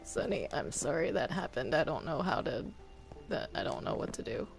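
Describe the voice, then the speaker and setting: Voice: mid-range voice, big pitch swings, normal speed, gravelly, soft background music. A player chatting casually and hesitantly during a multiplayer game roleplay session. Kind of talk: casual gameplay chat with roleplay. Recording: noisy home game recording.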